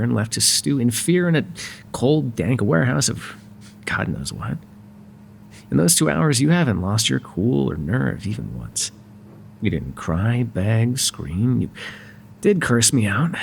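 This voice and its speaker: Man acting a wry mobster with animated pitch. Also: gravelly, a little hiss or hum. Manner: sardonic and conversational, swinging from exasperated to soft. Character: wry, courteous, exasperated male mafia boss, charming. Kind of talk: ASMR roleplay voice acting.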